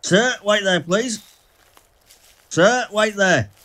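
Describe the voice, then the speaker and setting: A man's voice: sits high, extremely slow, pushed and bright, heavily acted. A man playing a stern, dismissive senior police officer in an audio drama, with a curt, authoritative manner. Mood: commanding.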